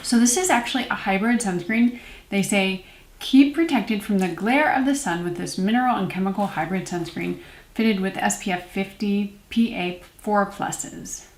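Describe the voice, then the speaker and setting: A woman's voice: medium pitch, normal speed. A woman in her thirties chatting informally to camera in a casual product review, with self-deprecating asides. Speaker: beauty vlogger, series host. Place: small room at home, indoors. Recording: roomy indoor echo.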